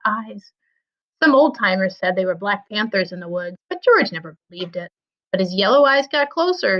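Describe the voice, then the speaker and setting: A woman, a school librarian, reading a children's story aloud with expressive storytelling. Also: on the high side, muffled recording.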